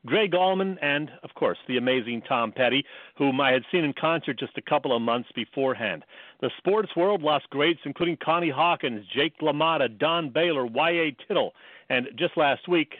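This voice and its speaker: A man's voice: mid-range voice, dry recording. A middle-aged man co-hosting a sports radio show, delivering talk and commentary. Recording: narrow phone-like radio sound.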